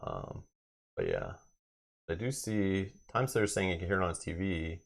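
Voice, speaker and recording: A man's voice: sits low, huge pitch swings, normal speed, creaky. A man hosting a live board game stream in casual chat, explaining things at length in a conversational way.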